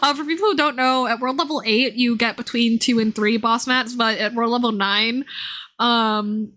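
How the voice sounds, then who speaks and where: A woman's voice: on the high side, steady tone, normal speed, pushed and bright. A woman chatting casually and playfully on a live game stream, with informal, slangy speech. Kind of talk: casual live stream chat over gameplay.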